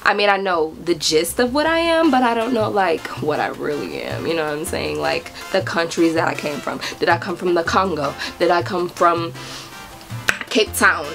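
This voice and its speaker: Woman, young adult, from the US, casual vlog talk, giggly, soft music behind. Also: on the low side, sing-song, moderate pace, vocal fry. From United States. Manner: bubbly, giggly, chatty with many fillers. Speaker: vlogger. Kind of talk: casual vlog chat to camera.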